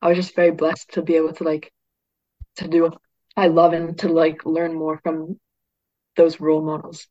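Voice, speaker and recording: A woman's voice: low-pitched, moderate pace, long pauses, no room sound, dull audio. Chatty teenage girl from Northern England. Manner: chatty, peppered with "like", excited. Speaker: youth football player. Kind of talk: casual interview chat.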